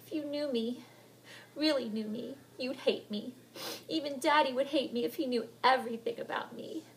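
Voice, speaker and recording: Woman doing a frantic, high young girl. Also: normal speed, a little hiss or hum, rough recording. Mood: sobbing. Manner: pleading, sobbing and shrieking.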